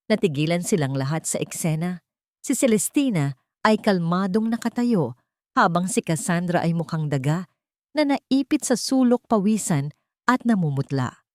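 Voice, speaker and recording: A woman's voice: lowish voice, darkish tone, no room sound, studio quality. A woman reading a scripted, dramatic story as its narrator.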